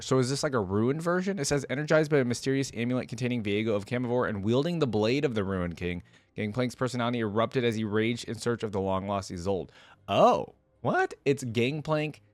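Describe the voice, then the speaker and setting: A man's voice: medium pitch, dry recording. A man giving casual, informal reaction commentary as a video host, thinking aloud as he goes.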